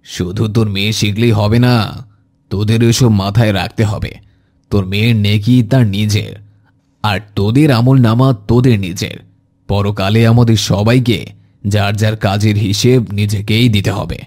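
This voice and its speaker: Man acting a deep-voiced, kindly old grandfather. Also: fairly even intonation, a little mellow. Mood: gently admonishing. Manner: instructive, measured. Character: kindly, pious, gently admonishing elderly grandfather. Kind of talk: Islamic moral cartoon dialogue.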